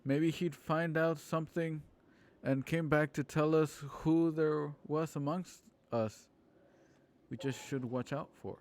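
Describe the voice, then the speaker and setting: A man playing a suspicious neighbour in a radio play, confronting someone with pointed, deliberate accusations. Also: fairly high pitch, steady tone, darkish tone. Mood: accusing. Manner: slow, pointed delivery.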